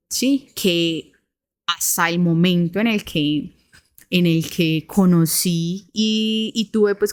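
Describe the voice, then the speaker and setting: A woman with a Medellín accent tells a story on a podcast. Her voice sits fairly low, and she talks casually and hesitantly, with frequent restarts and little check-ins. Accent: Colombian, Medellín. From Medellín, Colombia. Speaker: podcast co-host.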